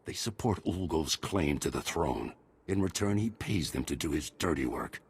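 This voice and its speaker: Gruff, very deep, husky army major. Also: male voice, animated, normal speed, full character voice. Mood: grave, pleading. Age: middle-aged. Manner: gruff, commanding military delivery. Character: stern, worried middle-aged male army major and father. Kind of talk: video game voice acting.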